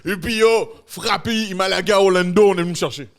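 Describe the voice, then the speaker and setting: A man's voice: high voice, fast talker, soft background music. A man co-hosting a podcast, telling stories in a laid-back way and using slang. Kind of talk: casual podcast chat.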